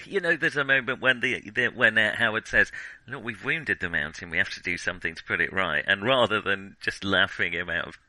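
A man with a fairly low but very sharp, bright voice. He talks as a podcast co-host in casual film discussion, enthusiastic and conversational. The sound is dry and close. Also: brisk, dry recording, low-quality recording.

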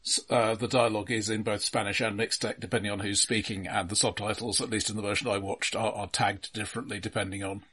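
A middle-aged British man talks as co-host of a casual film podcast. His voice sits fairly low with a slightly husky edge. He is measured and analytical, qualifying his points as he goes. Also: flat delivery, fairly bright tone.

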